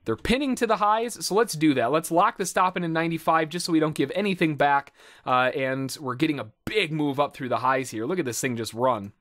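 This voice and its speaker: Casual man with a higher-pitched voice. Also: fairly quick, fairly bright tone. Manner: casual, conversational, thinking aloud. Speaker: day-trading instructor and host. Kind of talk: live trade commentary and tutorial.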